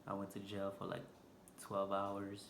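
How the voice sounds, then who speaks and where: A man with a Haitian accent, vlogging unscripted from a stool indoors, talking slowly and haltingly with lots of fillers. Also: low voice, completely flat delivery, gravelly, fairly bright tone. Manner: slow, halting, many fillers. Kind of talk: unscripted casual vlog talk. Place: indoor room, seated on a stool. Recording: echoey room with background noise.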